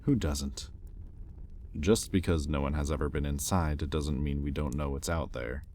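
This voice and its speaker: An extremely low-pitched male demon, acted by a man, speaks in an intimate roleplay style. He is a teasing, sardonic captor, arrogant and curious, talking conversationally over faint background noise. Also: moderate pace, a little mellow. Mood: sardonic, defensive. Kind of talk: intimate audio roleplay acting.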